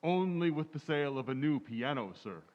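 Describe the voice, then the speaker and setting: An obliging, formal store clerk in an old-time radio comedy, played by a man. His fairly high voice moves up and down in a lively way. The recording sounds slightly dull. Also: brisk, natural voice. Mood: courteous, obliging. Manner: formal, deferential salesman patter. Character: polite, obliging music store salesman. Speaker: amateur actor in a community playhouse radio recreation.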